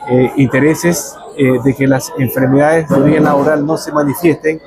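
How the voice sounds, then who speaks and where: A man from Ecuador explains his research in a calm, measured way, with some fillers. His voice sits a little high for a man. Café chatter carries clearly behind him. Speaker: researcher, guest. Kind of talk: explanatory interview answers. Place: café during a power cut.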